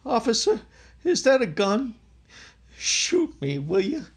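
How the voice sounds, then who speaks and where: A man's voice: very high-pitched, wildly swooping pitch, slow pace, heavy background noise, exaggerated voice. A man playing a hapless, brash, lovesick young suitor who stammers melodramatically in an old-time radio sitcom. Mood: wounded, melodramatic.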